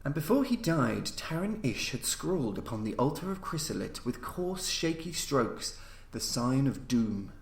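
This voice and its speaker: A man reading a scripted horror story as a podcast host, in a formal, measured storytelling delivery. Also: mid-range voice, big pitch swings, creaky. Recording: roomy, echoing voice recording.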